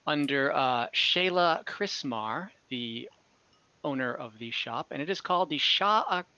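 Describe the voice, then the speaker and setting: A game master improvising narration in a lively, bright voice, choosing words haltingly. The voice is close and dry, slightly muffled by an online call. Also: mid-range voice, clean tone, dry recording. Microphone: video call.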